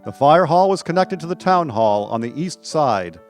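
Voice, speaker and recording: A man's voice: fairly high pitch, animated, normal speed. Male radio drama narrator over music. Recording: music under the voice. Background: instrumental music.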